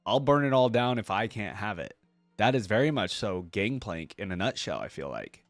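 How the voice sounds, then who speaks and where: A man's voice: fairly low pitch, sing-song, moderate pace, no room sound, faint music behind. A man hosting a reaction video, giving casual off-the-cuff commentary in a musing way, repeating lines and explaining them.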